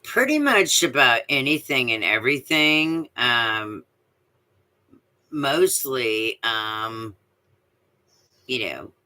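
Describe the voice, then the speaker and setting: Woman, deep voice, slow talker, bright tone, rambling, lots of fillers. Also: expressive. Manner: rambling, many fillers and restarts. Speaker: live stream guest. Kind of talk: casual chat.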